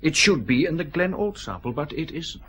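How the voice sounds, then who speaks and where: An actor playing a fussy customs and excise scientist in a radio detective drama, explaining things in a pedantic, measured way. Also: male voice, fairly high pitch, big pitch swings, a bit bright. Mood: pointed.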